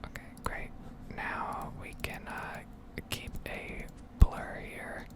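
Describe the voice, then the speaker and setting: A woman whispering in a heavy, breathy voice close to the microphone, rambling casually and hesitantly as in an ASMR gameplay video. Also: slow pace.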